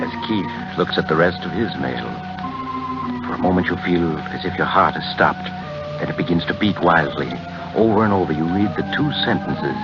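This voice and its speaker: An actor playing a suave, sinister narrator of 1940s radio mystery tales, speaking ominously and deliberately to the listener. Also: male voice, low-pitched, natural voice. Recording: muffled old radio recording, loud music behind.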